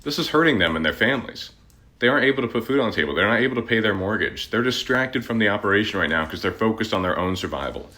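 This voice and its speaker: Deep, fast-talking man with a bright voice. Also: expressive. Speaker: air traffic controller.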